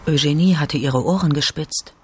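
A man's voice: a little high, moderate pace, a little hiss or hum. A man reading scripted narration for a radio drama.

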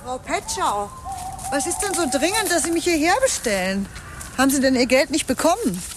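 An actress playing a cool, evasive woman with secrets in a modern radio drama, speaking in a measured, guarded way. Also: high voice, very animated, noisy background. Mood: irritated.

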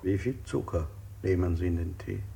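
An actor playing an unsettling interrogator in a German radio play, putting insistent, probing questions. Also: male voice, low voice, normal speed, gravelly. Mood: probing, menacing. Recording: hissy 1960s radio play recording.

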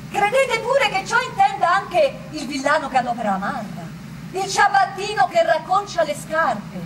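A spirited woman of the Roman people speaks in a very high, swooping voice. She has a Roman accent and a theatrical radio-drama delivery, exclaiming and arguing through rhetorical questions. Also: noisy background. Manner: exclamatory, rhetorical, repeating words. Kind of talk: theatrical radio storytelling with acted dialogue.

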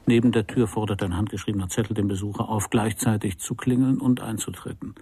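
An actor playing a wry private detective who narrates his case in a dry, deliberate, sardonic way for a radio crime play. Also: on the low side, steady tone, mellow, slightly rough. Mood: wry, atmospheric. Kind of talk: scripted first-person noir radio play narration.

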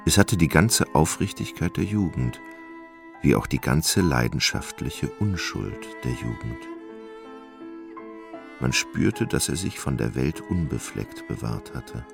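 Extrem tiefer, knarrender Erzähler. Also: male voice, very deep voice, animated, a little slow, gravelly, over music. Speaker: narrator. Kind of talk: scripted literary narration for a radio play.

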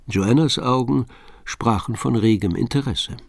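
An actor as the composed narrator of a radio crime play, telling the story in a measured, literary way. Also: male voice, medium pitch, vocal fry, husky, no room sound, clean studio sound.

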